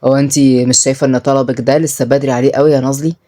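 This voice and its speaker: A man's voice: mid-range voice, little pitch movement, rapid-fire, dark tone, pitched below the performer's own voice, close to an everyday voice. A woman voicing a wealthy, widowed government minister, a reserved and fatherly but stern man in an audio story.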